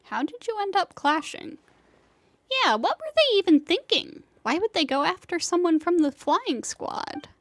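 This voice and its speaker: A woman voicing a small, childlike female companion sprite in a video game, excitable, nosy and quick to exclaim. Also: very high-pitched, dry recording, heavily acted. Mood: puzzled, indignant. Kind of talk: scripted video game voice acting.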